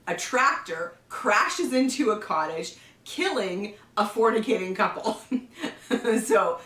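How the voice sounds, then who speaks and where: A woman with a cold hosting a casual book review, chatty, often laughing and clearing her throat. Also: medium pitch, expressive, slow, fairly bright tone. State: has a cold. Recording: roomy echo, somewhat far from the mic.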